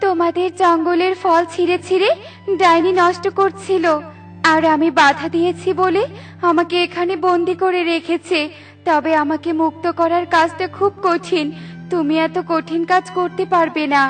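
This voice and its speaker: A woman voicing a gentle fairy in a children's cartoon, reassuring and explaining. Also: extremely high pitch, flat delivery, moderate pace, soft background music. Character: gentle, grateful female fairy trapped by a witch.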